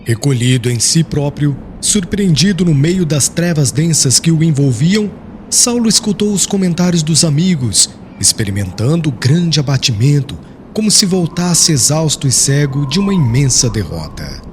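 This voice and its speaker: A male audiobook narrator reads dramatic literary prose solemnly. His voice sits fairly high and moves through a wide melodic range, with slight noise in the background. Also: big pitch swings, moderate pace. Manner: solemn, formal.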